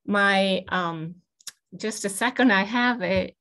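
Slow, measured older woman on a video call. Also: medium pitch, fairly bright tone. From Italy. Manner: measured, thoughtful, many hesitant ums. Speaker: guest speaker. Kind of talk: personal history talk on a video call. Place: home office with bookshelves, indoors. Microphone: video call webcam.

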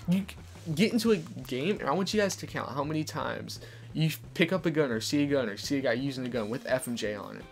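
Young man with a gravelly voice. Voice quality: deeper voice. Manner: casual, chatty, explaining game tips. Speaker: gameplay commentator. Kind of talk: live gameplay commentary. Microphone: desk condenser mic. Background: soft background music.

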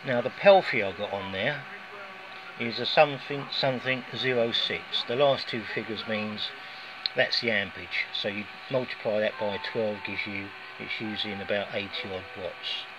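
A man's voice: on the low side, normal speed. British man, casual hobbyist vlogger, chatty and rambling; phone-recorded, muffled, noisy. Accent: British English. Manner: chatty and rambling, with folksy asides. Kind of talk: casual DIY demonstration. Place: indoors, garage or workshop floor. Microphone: handheld phone filming. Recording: dull lo-fi phone audio with clear background noise.

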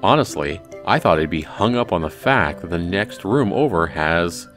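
A man's voice: deep voice, expressive, normal speed, a bit bright, soft background music. A man voicing a wry, exasperated teenage schoolboy, the hero of a visual novel. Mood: musing.

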